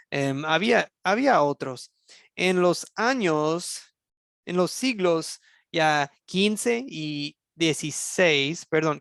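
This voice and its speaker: An American man, native English speaker, teaching a history lesson at a slow, deliberate pace. His voice is slightly high and very clear. The recording is dry and close, with many small pauses and self-corrections. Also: no room sound. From United States. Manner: slow, halting, many fillers and restarts. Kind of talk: online church history class.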